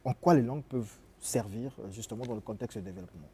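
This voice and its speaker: A man's voice: medium pitch, quick pace, mellow, breathy edge. Courteous Congolese radio host, man. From Republic of the Congo. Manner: courteous, hesitant with fillers. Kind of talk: radio interview.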